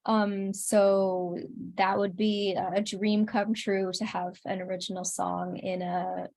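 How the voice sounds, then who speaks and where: Earnest woman guest, medium-pitched voice. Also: level tone, slow pace, darkish tone. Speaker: interview guest, singer and content creator. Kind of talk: casual interview chat.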